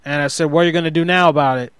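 A man acts out a hot-headed husband on a prank call, in a slightly high, very clear voice. He rambles and stumbles through sheepish self-justifications, recorded close and dry. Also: steady tone, very fast, fairly bright tone, no room sound, close to an everyday voice. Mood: irritated, defensive. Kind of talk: improvised prank phone call.

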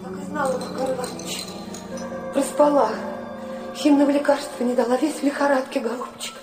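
An actress plays a fretful, tender mother in an audio drama. Her voice is high and stays in a narrow range, heard in a roomy echo. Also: flat delivery, fairly quick, over music, lightly acted. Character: anxious, tender mother tending the sick.

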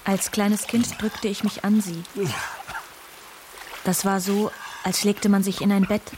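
A wounded but determined eleven-year-old girl acted by a woman mourns her mother in a radio play. She speaks at a medium pitch, in simple, childlike poetic phrases. Rain-like noise is clearly heard behind her. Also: level tone, unhurried, lightly acted. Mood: grieving. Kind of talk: radio drama monologue. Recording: clearly noisy rain-like background.

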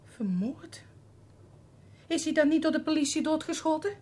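A woman's voice: sits high, lively intonation, normal speed, a little mellow. An actress playing a weary, suspicious middle-aged mother hardened by trouble, curt and grumbling in a radio drama. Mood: bitter, resigned. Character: weary, suspicious, hard-bitten mother of a criminal. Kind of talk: Dutch radio play acting. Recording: clearly noisy radio drama recording.

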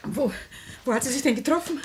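A woman's voice: sits high, a little fast, background noise, lightly acted. An actress playing a worried, plain-spoken wife and mother in a radio drama. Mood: frantic. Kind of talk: theatrical radio drama acting.

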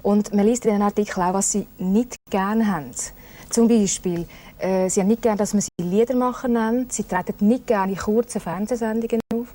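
A woman hosting a TV talk show, presenting and interviewing in a chatty, slightly halting way. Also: mid-range voice, mellow.

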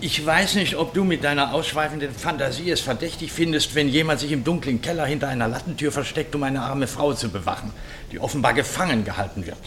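An actor in a German radio play playing an earnest, determined gentleman adventurer, insistent and indignant. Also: mid-range voice, fast, a little hiss or hum, natural voice.

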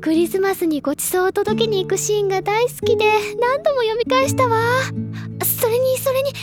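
A very high-pitched bookish schoolgirl. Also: a little fast, music in the background. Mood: excited. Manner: bright, excitable and wistful, polite feminine speech. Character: bookish cheerful high-school girl, dreamy and eager. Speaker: book-loving older student. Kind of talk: anime drama CD acting.

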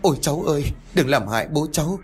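A man's voice: lowish voice, sing-song, moderate pace, faint music behind. A man voicing a terrified old grandmother who pleads and cries out in panic. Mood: pleading.

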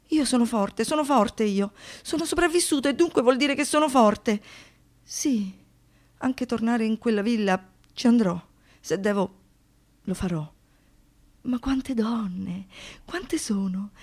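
A woman's voice: fairly high pitch, a little mellow. Shaken but defiant young woman survivor. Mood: fearful, resolute. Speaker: monologue performer and narrator. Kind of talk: dramatic radio theatre monologue.

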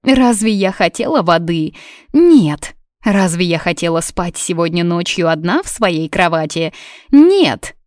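A woman's voice: on the low side, very animated, a little slow, a little mellow, no echo, studio quality. A woman voicing a dramatic, heartbroken young woman in an audiobook, whining and snapping with volatile emotion.

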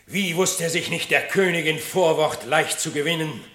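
An actor playing a stern, aging lion king in a German radio play, speaking slowly and solemnly. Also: fairly high pitch, fairly bright tone, close to an everyday voice. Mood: angry. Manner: slow, solemn, brooding. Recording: echoey studio room.

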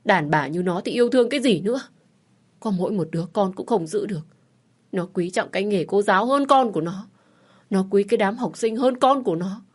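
A woman voicing a bitter older mother-in-law who berates her daughter-in-law in a high, loud voice. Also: expressive, fairly quick, slightly rough. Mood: berating. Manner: shrill, berating.

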